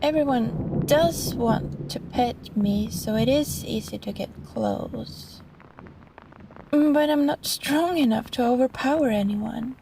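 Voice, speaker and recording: Catgirl vampire played by a woman, yandere type: sweet, clingy, possessive. High-ish voice, very unhurried, intimate ASMR roleplay. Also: creaky, a little hiss or hum, poor audio. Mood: wistful, self-pitying. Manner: soft, halting, pleading, breaking into sighs and small laughs.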